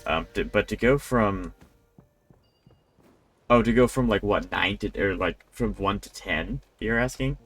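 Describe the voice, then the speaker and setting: Low, creaky-voiced man streaming a game. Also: a little slow, pauses often, fairly bright tone. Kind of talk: casual live gameplay commentary. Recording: game music faintly behind. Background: faint video game music.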